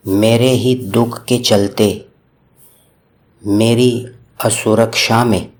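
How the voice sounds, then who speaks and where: A man leading a guided meditation with slow, calm, soothing instructions and long pauses. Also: on the low side.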